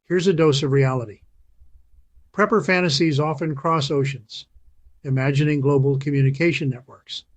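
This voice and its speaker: A man's voice: on the high side, steady tone, normal speed, dry recording. Dry, emphatic scripted male narrator. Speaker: channel host.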